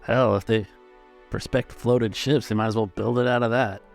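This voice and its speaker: A man voicing a gruff, plain-spoken old navy veteran in a tabletop game. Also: medium pitch, fairly even intonation, normal speed, close to an everyday voice. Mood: gruff, weary. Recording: music behind the voice.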